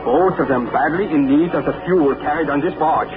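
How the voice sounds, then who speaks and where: A man's voice: fairly high pitch, moderate pace, music in the background, lightly acted. An actor playing a cold, precise male enemy agent, speaking in a clipped, formal, commanding way. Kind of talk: 1940s radio acting. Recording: tinny, hissy 1940s radio broadcast.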